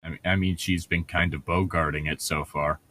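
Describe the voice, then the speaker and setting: A man's voice: low voice, steady tone, a little fast, bright, forceful tone, slight background noise. A man co-hosting a casual read-aloud, throwing in sarcastic asides and laughing often. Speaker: co-host of a humorous read-aloud commentary.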